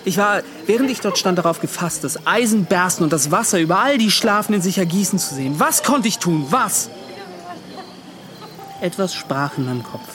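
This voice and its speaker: High-voiced, tormented ship's officer. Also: male voice, animated, fairly bright tone, soft background music. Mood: defensive, anguished. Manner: agitated, pleading, halting then rushing. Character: tormented, defensive male ship's officer recounting a disaster. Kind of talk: radio drama acting, confessional monologue.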